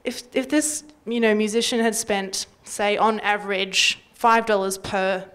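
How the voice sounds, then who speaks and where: A woman's voice: medium pitch, fairly even intonation, measured pace, fairly bright tone, clear, resonant tone. A young Australian woman talking casually and wryly into a handheld mic on a panel. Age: young adult. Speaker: panellist from community radio. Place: indoor workshop panel before a small audience. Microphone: handheld microphone.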